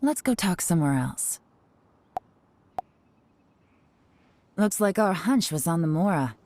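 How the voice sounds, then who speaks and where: A woman's voice: on the low side, sing-song, moderate pace, dark tone, slight background noise. A woman acting a shrewd, mysterious young intelligence agent in a video game, composed and knowing. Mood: wry, suspicious.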